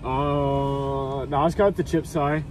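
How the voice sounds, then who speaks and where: A man's voice: mid-range voice, fairly even intonation, unhurried. A young man with an Australian accent chatting casually and ordering at a drive-through, recorded on a phone in a car. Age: young adult. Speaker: vlogger and prankster. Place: inside a parked or idling car at night. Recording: noisy car, handheld phone audio.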